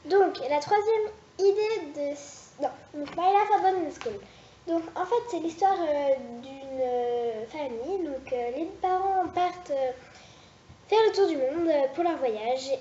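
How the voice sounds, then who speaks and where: High-voiced hesitant young woman, roomy lo-fi. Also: moderate pace, warm tone. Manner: hesitant, rambling, many restarts. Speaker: web series creator. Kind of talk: casual vlog pitching story ideas. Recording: roomy, dull lo-fi sound with faint hiss.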